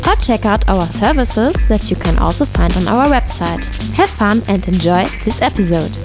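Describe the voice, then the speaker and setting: A woman's voice: medium pitch, animated, normal speed. Female podcast co-host over music. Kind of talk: scripted intro and interview questions.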